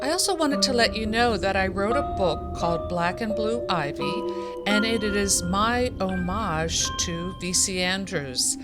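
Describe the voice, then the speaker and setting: A woman with a medium-pitched voice speaks as the host of a book discussion video. She is conversational and candid, retelling a story in loose everyday language. She freely mixes her opinions into the retelling. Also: animated, unhurried, over loud music. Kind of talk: casual chatty book discussion.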